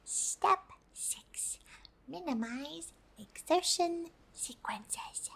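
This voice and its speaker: A woman voicing a gentle, polite female alien for an ASMR roleplay, in a soft breathy whisper with slow, hesitant, stilted English. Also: very high-pitched, lively intonation, full character voice. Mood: earnest, instructive. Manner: slow, deliberate, hesitant, refers to herself in third person.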